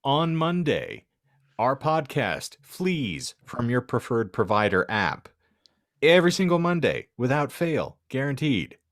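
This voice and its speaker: A man's voice: mid-range voice, very animated, measured pace, fairly bright tone, no room sound, studio quality. A man hosting a podcast in casual banter, joking with mock-grand announcer phrasing. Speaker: podcast host.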